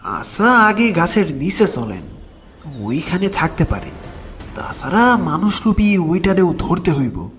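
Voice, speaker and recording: A male actor as an anxious village cleric in an audio horror drama, calling out in dialect. His voice is very high and swings widely, with music underneath. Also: sing-song, a little slow, a bit bright, full character voice. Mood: urgent. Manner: rustic dialect speech, calling out. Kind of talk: audio horror story acting.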